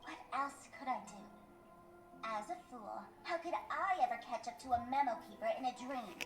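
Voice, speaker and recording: Girl doing a high voiced anime game trickster, teasing n theatrical, echoey. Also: animated, moderate pace, close to an everyday voice. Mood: teasing, dramatic. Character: mischievous young masked trickster woman, teasing and theatrical. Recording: game audio with music, roomy echo.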